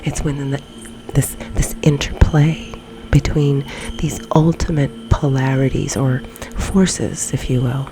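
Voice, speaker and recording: A woman leading a guided meditation in a soft, breathy, often near-whispered ASMR delivery, with long pauses between phrases. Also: extremely low pitch, background noise. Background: background music.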